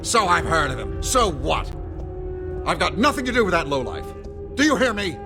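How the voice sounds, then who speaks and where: A man's voice: sits high, sing-song, normal speed, vocal fry, over music, full character voice. A man playing a blustering, corrupt mayor in a video game, agitated, self-righteous and indignant. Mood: defensive, indignant. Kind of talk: dramatic video game acting.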